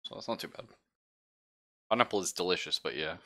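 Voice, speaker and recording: Man, game master, low voice, bright tone, casual streamed tabletop chat. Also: fairly quick.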